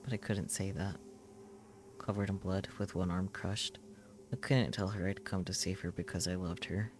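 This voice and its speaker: Low-voiced man, solemn dramatic narration. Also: steady tone, background noise. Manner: solemn, measured, short halting phrases. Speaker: streamer reading a visual novel aloud. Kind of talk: dramatic scripted first-person narration.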